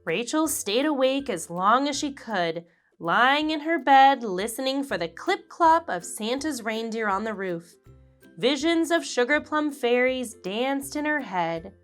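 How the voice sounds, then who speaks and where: A woman reading a children's picture book aloud, slowly and expressively. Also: high-pitched, faint music behind. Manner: slow, expressive, animated character voices. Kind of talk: read-aloud storybook narration.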